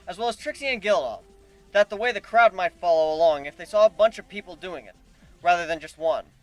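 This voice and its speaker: A man's voice: sits high, fairly bright tone, poor audio. A man giving a dramatic first-person reading of a story as its narrator. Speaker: narrator of a fan fiction reading.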